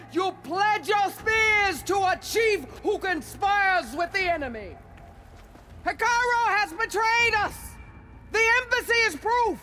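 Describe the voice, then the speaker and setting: Fierce shouting warlord over battle noise. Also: female voice, extremely high pitch, exaggerated voice. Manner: commanding, declamatory shouting. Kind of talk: dramatic video game acting. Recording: loud battle noise behind.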